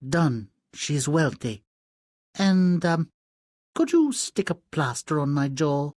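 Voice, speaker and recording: An actor voicing a wounded, long-suffering magical fish with a sarcastic temper and raspy voice in a fairy-tale game. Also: male voice, a little high, expressive, slow, darkish tone, no echo, clean studio sound. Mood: sarcastic, exasperated.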